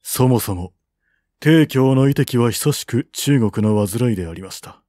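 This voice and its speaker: A man reading the part of a cautious army general who gives his lord grave, formal, deliberate counsel in an audiobook. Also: medium pitch, animated, warm tone, no echo, clean studio sound. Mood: grave, reasoning.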